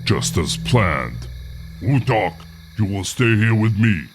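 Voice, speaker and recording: A man's voice: on the low side, expressive, takes it slowly. An actor playing a proud, bloodthirsty orc war chief in a video game, rallying his horde with grand, commanding oratory. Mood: grim satisfaction. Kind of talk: dramatic video game villain acting. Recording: loud music under the voice.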